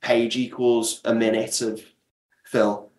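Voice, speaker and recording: A man's voice: medium pitch, little pitch movement, slow pace, darkish tone. A man giving explanatory, conversational interview answers as a guest creator, often restarting mid-thought. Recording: echoey, roomy sound.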